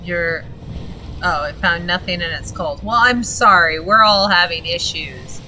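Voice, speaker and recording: A woman streaming a game, chatting casually with viewers and reading game text aloud; her voice is gravelly and she swears freely. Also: fairly low pitch, measured pace, sharp tone, slight background noise, poor audio. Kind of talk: casual gaming stream commentary.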